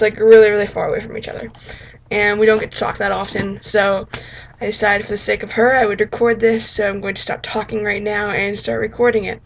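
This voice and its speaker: Casual, rambling woman, faintly noisy recording. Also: mid-range voice. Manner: hesitant, rambling, self-deprecating. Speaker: vlogger reacting to audio. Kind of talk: casual chat and interjections.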